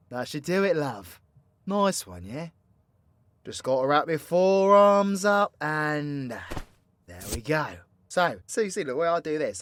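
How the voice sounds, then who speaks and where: A British man playing a cocky, foul-mouthed dark-magic warlock in an audio roleplay, with slangy, teasing, proud delivery. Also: on the high side, dry recording, exaggerated voice. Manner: cheeky slangy banter, swinging between hushed menace and loud scolding. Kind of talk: roleplay ASMR audio drama.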